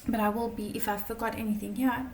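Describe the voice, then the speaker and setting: Chatty woman teaching tarot, slightly croaky. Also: on the high side, flat delivery, quick pace, recorded from a distance, slight background noise. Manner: chatty, many fillers and "okay?" check-ins. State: slightly croaky from talking all day. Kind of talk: casual teaching lesson.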